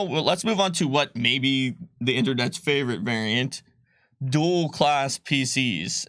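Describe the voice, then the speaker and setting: Bright-voiced man explaining game rules. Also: mid-range voice, clear, resonant tone, no room sound, clean studio sound. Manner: rambling, quick, full of likes and ums. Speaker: game designer presenting rules. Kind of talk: casual livestream rules explainer.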